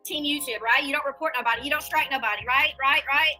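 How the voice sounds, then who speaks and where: A high-pitched woman rants fast and aggressively, throwing profanity and taunts. Her voice is bright and tense, and faint music plays underneath. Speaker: online creator in a played clip. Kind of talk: confrontational rant.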